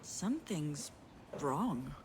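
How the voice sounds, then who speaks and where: A woman's voice: low voice, very animated, extremely slow, slight background noise, heavily acted. A woman playing a blunt, tough, hot-tempered sister in a visual novel, speaking in a direct, sardonic, confrontational way. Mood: upset, confrontational. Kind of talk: visual novel voice acting, dramatic dialogue.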